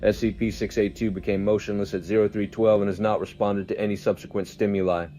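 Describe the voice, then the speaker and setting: Man acting a deadpan low-voiced scientist dictating. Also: soft background music, natural voice. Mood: detached. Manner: measured, deadpan, official. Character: clinical, detached senior scientist logging a report. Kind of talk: formal log dictation.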